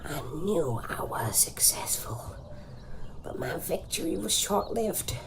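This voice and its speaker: A woman's voice: fairly low pitch, very animated, creaky, in a whisper, heavily acted. A woman playing a determined old woman, telling things dramatically in a comedy audio drama. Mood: weary, solemn. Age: older. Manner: dramatic storytelling, deliberate. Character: theatrical, determined old woman who hunts a monster. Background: music.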